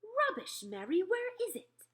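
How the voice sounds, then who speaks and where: A refined queen with a high, sweeping voice that leaps between exclamations, as a woman reading a storybook puts her on. She speaks in upper-class, commanding tones, composed yet shaken. The words come quickly. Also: wildly swooping pitch, a bit bright, heavily acted. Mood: alarmed.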